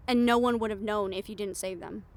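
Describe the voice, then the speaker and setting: A woman's voice: medium pitch, steady tone, fairly quick, lightly acted. A woman playing a bold, sarcastic, foul-mouthed reporter in an improvised audio roleplay, speaking in a candid, conversational way. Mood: earnest, persuasive. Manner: conversational, exasperated, candid, with sighs and swearing.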